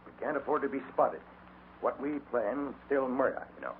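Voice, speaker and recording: A man's voice: mid-range voice, expressive, normal speed, close to an everyday voice. Man as cold scheming outlaw, tinny old radio. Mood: cold, insistent. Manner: terse, controlled, insistent. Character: cold, scheming Western villain giving murder orders. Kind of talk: 1950s radio western acting. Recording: old noisy narrowband radio recording.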